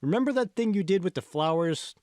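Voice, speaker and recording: A man plays a confident young male college buddy in an audiobook. His pitch climbs and swoops as he coaches, emphatic and insistent. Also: lively intonation, fairly quick, a little mellow, dry recording, clean studio sound. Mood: insistent encouragement. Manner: emphatic, prodding, persuasive. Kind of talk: audiobook character dialogue.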